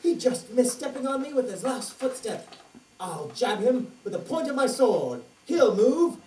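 A woman's voice: fairly high pitch, sing-song, a little slow, mellow. A woman voicing a brave, gallant prince in a fairy-tale puppet show, with theatrical, declamatory delivery. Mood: urgent, bold. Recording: distant echoey stage, faint noise.

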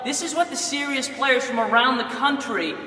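A young man, a basketball coach, making an urgent, emphatic sales pitch straight to the camera in a large indoor gym. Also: normal speed, bright, forceful tone, a little hiss or hum. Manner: urgent, emphatic, direct address. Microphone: lapel mic. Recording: echoey gym from far off.

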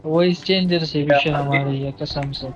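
A man's voice: on the high side, steady tone, moderate pace, muffled recording, very noisy. A man chatting casually as a teammate in an online game's voice chat. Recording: game voice chat under loud music. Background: loud music, gunfire.

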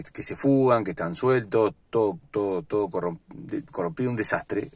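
Blunt ranting Uruguayan man, low voice. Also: no echo. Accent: Uruguayan Spanish. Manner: blunt and ranting, many fillers and restarts. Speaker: sports vlogger. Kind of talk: opinionated solo football commentary. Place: inside a parked car. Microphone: phone or webcam at arm's length.

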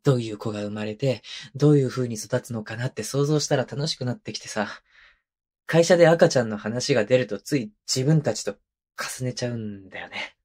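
A man acting as an affectionate young husband in an intimate audio drama, with a mid-pitched voice. He coaxes and teases in a casual, boyish, slightly hesitant way, clingy and childlike, close to a binaural microphone. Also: a little fast, a little mellow, natural voice. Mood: earnest, tender. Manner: coaxing, teasing, casual and hesitant. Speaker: voice actor. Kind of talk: intimate ASMR situation voice drama.

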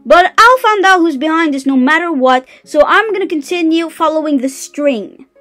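Woman voicing an excitable high-pitched teenage girl. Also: moderate pace, faint music behind. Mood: insistent. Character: excitable, stubborn, rambling teenage girl at magic school. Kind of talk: amateur comic fan dub.

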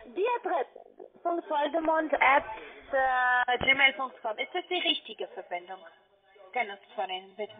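Female telephone agent, high voice, non-native accent, formal halting sales script, tinny phone line. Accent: foreign. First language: not German. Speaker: telephone sales account manager. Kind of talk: scripted cold-call sales talk.

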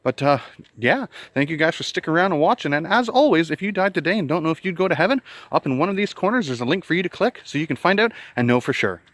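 Man, thirties, slightly high voice, chatty vlogger, outdoors on a bridge, arm's-length camera. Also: lively intonation, fast talker, fairly bright tone, no room sound. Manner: chatty and upbeat, addresses viewers as guys. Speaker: magnet fishing vlogger. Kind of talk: casual outdoor vlog to camera. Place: outdoors on a rural bridge over a creek. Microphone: handheld camera at arm's length.

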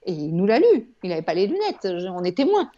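A woman's voice: medium pitch, wildly swooping pitch, fast, mellow. A woman in her sixties explaining things conversationally in an interview, emphatic and repeating words, over a video call on earphones. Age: 60s. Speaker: guest, eye yoga trainer and author. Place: home room with shelves, indoors. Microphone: wired earphones on a video call. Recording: dull, lo-fi video call audio.

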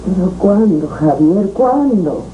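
A woman's voice: mid-range voice, lively intonation, takes it slowly, lo-fi sound. A woman playing an anguished, fearful young mother in a melodramatic 1970s telenovela. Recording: noisy old TV videotape.

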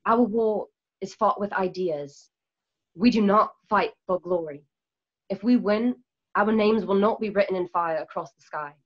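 A woman's voice: mid-range voice, a little slow, breathy edge. A woman playing a solemn, idealistic rebel in a radio play, earnest and oratorical, over a video call. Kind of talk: remote radio play reading. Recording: dull lo-fi video call audio.

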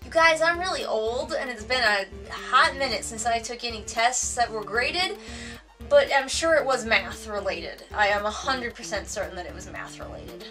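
A woman's voice: on the high side, normal speed, bright, forceful tone, recorded from a distance, soft background music. A woman in her thirties with a Wisconsin American accent, reading tag questions aloud at home and answering them with a dry, joking manner. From United States. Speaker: vlogger. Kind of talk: casual question tag vlog. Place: home room with bookshelves, indoors. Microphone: camera mic at a distance.

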